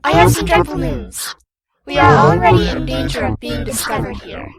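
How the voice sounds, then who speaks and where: A performer voicing a faceless, telepathic monster leader, commanding and patronising, in a breathy, gravelly whisper. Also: sits high, normal speed. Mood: grave, stern. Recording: loud music over the voice.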